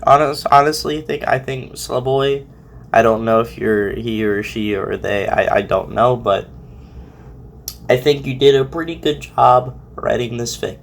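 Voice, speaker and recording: A man with a mid-pitched voice talks off the cuff as a reaction host. He rambles and halts, circling back over his words in a casual, conversational style. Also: creaky. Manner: halting, rambling, heavily repeating words. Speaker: host reacting to a fan fiction audio reading. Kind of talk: casual, unscripted reaction commentary.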